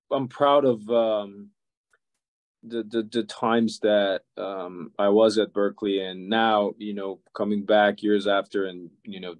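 A man in his forties with a Serbian accent, giving halting, reflective answers in a conversational interview. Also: lowish voice, dry recording. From Serbia, former Yugoslavia. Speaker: interview guest, violinist and music educator. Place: office or teaching room, indoors. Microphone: video call.

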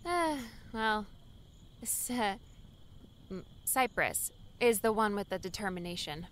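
A woman voicing an anxious, earnest young woman in an audiobook, hesitant, soft-spoken and sincere. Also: medium pitch, lively intonation, slow. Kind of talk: audiobook character dialogue.

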